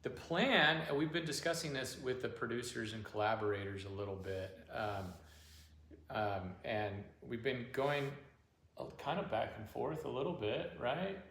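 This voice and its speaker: A man's voice: mid-range voice, moderate pace, a bit bright. A man co-hosting a casual livestream, rambling and reading out viewer comments. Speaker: singer and co-host. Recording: echoey room.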